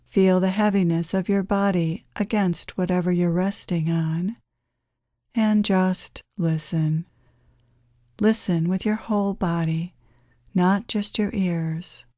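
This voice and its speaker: A woman leads a guided meditation in a soft-edged, mellow voice. She speaks very slowly and gently, leaving long, soothing pauses. The sound is dry, with very little room around it. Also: fairly low pitch, dry recording. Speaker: guided meditation leader, channeler.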